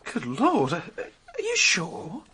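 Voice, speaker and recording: Halting, flustered young man. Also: sits high, huge pitch swings, pushed and bright, breathy edge, exaggerated voice. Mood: startled, flustered. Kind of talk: British radio mystery drama.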